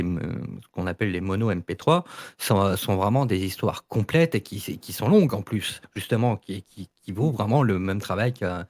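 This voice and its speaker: Male debate participant, lowish pitch, informal and emphatic, restarts often, spontaneous podcast talk. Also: no echo, clean studio sound. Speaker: podcast debate participant, audio fiction creator. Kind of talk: casual unscripted debate.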